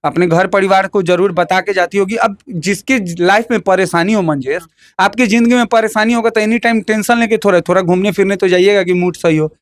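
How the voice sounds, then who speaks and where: High-pitched combative man with a Bhojpuri accent. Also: brisk. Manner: combative, emphatic, repeats phrases, fires rhetorical questions. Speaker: interviewee. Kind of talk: heated opinionated interview talk.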